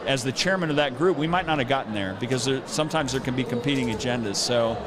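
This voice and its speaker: A man's voice: medium pitch, quick pace, noisy background. A middle-aged man hosting a podcast interview in a casual, informal, anecdotal way. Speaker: podcast host. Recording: outdoor event with clear crowd din.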